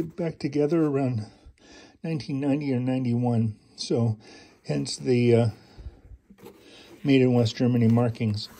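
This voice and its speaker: A middle-aged man in a casual hands-on show-and-tell, halting with many fillers and restarts, recorded indoors by an overhead first-person camera. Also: a little high, gravelly, warm tone. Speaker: collector showing an air pistol. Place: indoors at home, carpeted floor.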